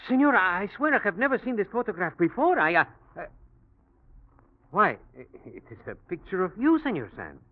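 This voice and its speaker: A man's voice: sits high, very animated, normal speed. Courteous Latin hotel man, tinny old radio. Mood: flustered, pleading. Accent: Latin American Spanish. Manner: courteous, hesitant, probing. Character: polite, talkative South American hotel man, eager to please. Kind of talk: 1940s radio drama acting. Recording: muffled, noisy vintage radio recording.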